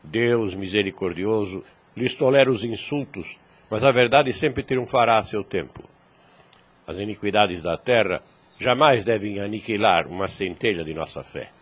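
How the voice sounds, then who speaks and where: A man's voice: lowish voice, normal speed, husky. An actor playing a wise, fatherly priest in a radio drama, solemn and preachy, in formal archaic phrasing. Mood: earnest, consoling.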